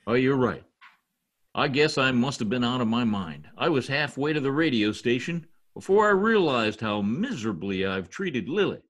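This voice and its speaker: A man's voice: medium pitch, lively intonation, moderate pace, lightly acted. A man in his sixties playing a grandiose, melodramatic, blustering husband in an old-time radio comedy over a video call. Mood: remorseful, worried. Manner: theatrical, grandiose, overwrought. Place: home office, video call. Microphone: computer mic on video call.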